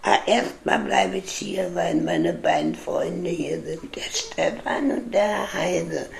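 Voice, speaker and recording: A woman playing a stubbornly loyal care-home resident in a radio drama, speaking in a halting, drawn-out way with faint noise. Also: on the low side, big pitch swings, creaky, heavily acted. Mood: contented.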